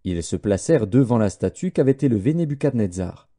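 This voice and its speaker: A man narrating scripture as an audiobook in a solemn, measured way. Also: fairly low pitch, expressive, warm tone.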